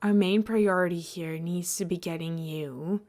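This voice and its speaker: A woman's voice: lowish voice, fairly even intonation, a little slow, darkish tone, close to an everyday voice. A woman reading the part of a terse male executive who is hard to please, in an audio story. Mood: stern.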